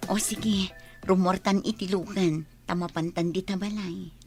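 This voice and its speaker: A woman's voice: on the low side, steady tone, a little slow, music in the background. A woman with an Ilocano Filipino accent playing an attentive wife in a radio drama. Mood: gently coaxing. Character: attentive woman posing as an amnesiac man's wife.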